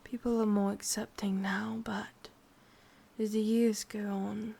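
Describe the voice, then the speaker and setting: A woman with an English accent voicing an ancient, lonely, lovesick witch in a breathy, husky whisper for an ASMR roleplay. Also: mid-range voice, level tone, warm tone, heavily acted. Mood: melancholy, yearning. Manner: slow, brooding, intimate delivery.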